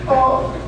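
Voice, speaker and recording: A man's voice: extremely high pitch, exaggerated voice. A man playing an eager, overfriendly foreign cab driver with choppy, repetitive phrases in a comic radio play. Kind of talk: comic radio theater acting. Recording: echoey, distant, noisy.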